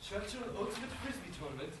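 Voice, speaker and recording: A man's voice: sits high, big pitch swings, quick pace, heavy background noise. A man playing a brash announcer making a proclamation in a student stage pantomime. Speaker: pantomime actor. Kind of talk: theatrical proclamation. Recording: echoey distant stage recording.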